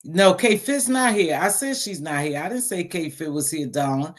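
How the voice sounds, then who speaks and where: A middle-aged woman with a deep voice hosting a casual live stream, talking bluntly and sassily to her viewers. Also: animated, moderate pace. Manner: blunt, sassy, talks straight to viewers. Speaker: live stream host reading chat.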